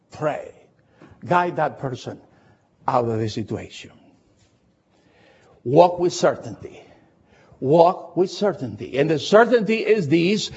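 An older man with a foreign accent preaching an expository Baptist sermon with personal anecdotes, emphatically repeating short phrases in a husky voice. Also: fairly high pitch, darkish tone, lo-fi sound.

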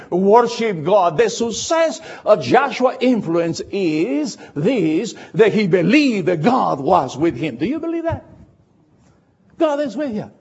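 Older male pastor, foreign accent, husky and highly expressive, preaching with emphatic repetition; slightly dull, lo-fi recording. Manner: emphatic repetition of short phrases. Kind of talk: expository sermon with personal anecdotes.

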